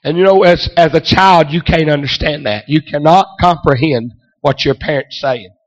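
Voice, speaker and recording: A man preaches a fervent revival sermon, raspy and worn hoarse from a week at the pulpit. He scatters amen and hallelujah through every phrase and breaks into shouts. The recording is dull and muffled. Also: fairly high pitch, moderate pace.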